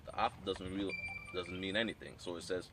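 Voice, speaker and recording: A man teaching a Hebrew class, explaining in a measured way and reading scripture aloud. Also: low-pitched, steady tone, noisy background. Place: outdoor training camp.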